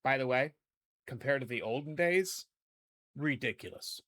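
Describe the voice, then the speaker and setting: A middle-aged man with a hoarse voice hosting a casual video editing tutorial, chatty and rambling, often correcting himself. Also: mid-range voice, animated, moderate pace, fairly bright tone. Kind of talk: casual screen-recorded tutorial.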